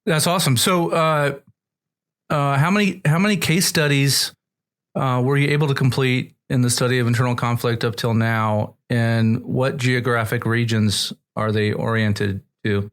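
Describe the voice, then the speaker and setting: A man's voice: medium pitch, steady tone, normal speed. A man hosting a podcast, asking questions in a conversational interview style. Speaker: podcast host.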